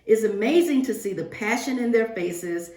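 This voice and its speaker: A woman's voice: on the high side, moderate pace. Woman reading to children, echoey room. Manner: expressive, addressing children directly. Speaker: guest picture-book reader for a school class. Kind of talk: read-aloud storytelling to young children. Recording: roomy, echoing virtual-reading audio.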